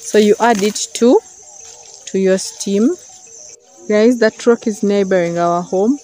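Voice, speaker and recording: A woman's voice: mid-range voice, slow, dark tone, soft background music. A young woman, chatting casually as she shows plants in a rural field, talking into a handheld phone camera. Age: young adult. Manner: chatty, explaining plants to viewers. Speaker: vlogger. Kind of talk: casual vlog walkthrough. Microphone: phone camera, often held at arm's length.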